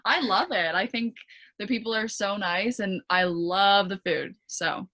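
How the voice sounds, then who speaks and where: A woman's voice: medium pitch, unhurried, pushed and bright. A young woman with a Canadian accent, a pro tennis player chatting as a podcast guest, with plenty of fillers, restarts and easy laughs. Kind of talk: casual interview chat.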